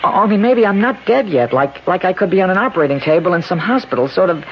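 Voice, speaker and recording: An actor playing a nervous, grumbling man stranded near death in a 1970s radio drama, stammering and hesitant, with a creaky voice. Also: sits high, expressive, quick pace. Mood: uneasy. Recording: noisy, dull 1970s radio broadcast.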